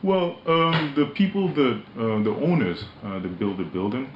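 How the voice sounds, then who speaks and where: A man with a deep, imposing voice, a managing director answering questions in a radio interview, measured and hesitant with many fillers. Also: animated, reverberant, muffled recording, slight background noise. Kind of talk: radio interview, relaxed business talk. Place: radio studio.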